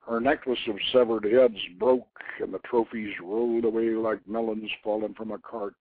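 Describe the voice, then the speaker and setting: A man narrating an ancient legend as a storyteller, with theatrical, grandiose and deliberate phrasing. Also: on the low side, little pitch movement, a little hiss or hum. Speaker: storyteller, host reading his own novelette. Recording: very dry internet radio, narrow phone-like band.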